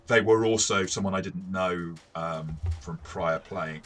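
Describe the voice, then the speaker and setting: A man co-hosting a casual review discussion, explaining things in a measured way. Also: low-pitched, a bit bright. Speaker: co-host and game master.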